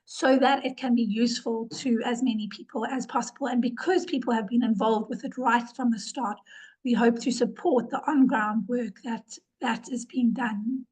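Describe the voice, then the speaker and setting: A woman's voice: fairly high pitch, steady tone, normal speed, a little mellow, low-quality recording. A woman who is ill and losing her voice, giving an earnest webinar presentation over a video call, repeating words for emphasis. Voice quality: hoarse. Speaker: webinar presenter, organization director. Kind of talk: presentation talk.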